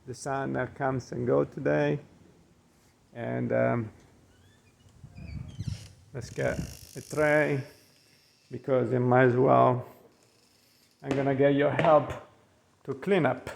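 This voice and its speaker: A middle-aged man with a clear Italian accent, talking in a slow, unhurried way. His voice has a gravelly, creaky edge. He stops often to hesitate and hunt for English words, with faint outdoor ambience behind him. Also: medium pitch, level tone, slight background noise. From Italy. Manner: rambling, frequent ums and uhs, hesitant English. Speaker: chef. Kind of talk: casual cooking vlog walk-through. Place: outdoors by the Gulf of Mexico in Florida.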